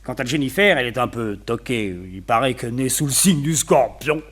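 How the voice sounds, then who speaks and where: An actor playing a well-connected, opinionated society gentleman in a French radio detective play, talkative, confiding and wryly gossipy. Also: medium pitch, normal speed, lightly acted.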